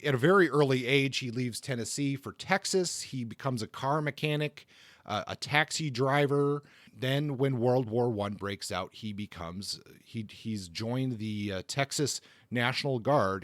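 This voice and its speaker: Male podcast host, informal biographical narrator, conversational and halting, recorded dry. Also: mid-range voice, no room sound.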